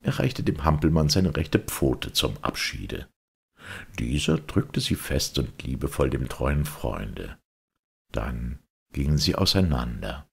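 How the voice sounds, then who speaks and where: Very deep, gravelly male storyteller. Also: very animated, slow pace. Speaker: audiobook narrator. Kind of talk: expressive scripted storytelling for children.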